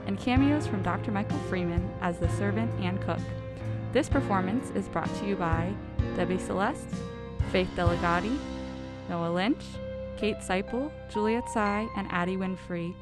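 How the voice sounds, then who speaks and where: A woman announcer with a medium-pitched voice reads scripted material for a radio drama. Music plays very loudly behind her. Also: normal speed. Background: loud music.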